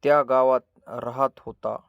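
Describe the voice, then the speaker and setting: A man tells a children's story as a scripted narrator. His voice sits at a middle pitch, and he sets out short phrases with measured drama. The recording is dry and close. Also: fairly even intonation, vocal fry, dry recording, dull audio.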